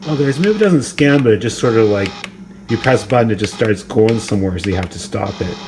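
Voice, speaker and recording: A man with a fairly low voice thinks aloud as he demonstrates a gadget. His delivery is casual, rambling and tentative. It is a phone recording made indoors, with noticeable background noise. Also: animated, fast. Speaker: amateur gadget reviewer. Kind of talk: casual, unscripted product demonstration. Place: indoors, kitchen counter. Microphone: phone camera, held or propped nearby.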